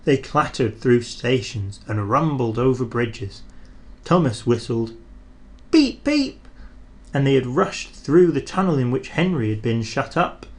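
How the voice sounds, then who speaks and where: A man reading a children's storybook aloud, expressive and measured. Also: mid-range voice.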